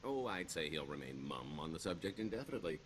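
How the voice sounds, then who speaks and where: A roguish con man from a video game. His deep voice moves freely up and down, wry and theatrical, hiding a wistful streak behind glib bravado. Clear background ambience sits behind him. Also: big pitch swings, fast, slight background noise. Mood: sly, wry. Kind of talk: video game character dialogue.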